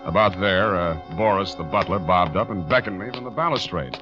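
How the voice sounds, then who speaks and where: An actor playing a wry, unflappable hardboiled private detective in 1940s radio style. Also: male voice, deep voice, fairly quick, music in the background, lightly acted. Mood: wry narrating. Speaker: detective narrator. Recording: dull old radio recording.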